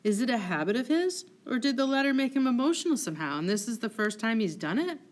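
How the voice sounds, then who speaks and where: A woman's voice: fairly high pitch, sing-song, quick pace, voice pushed up. A woman takes the part of a visiting nobleman in a stage tragedy. The voice is lifted above her narration, polite and earnest. The figure questions what is seen with genteel disbelief. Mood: shocked disbelief.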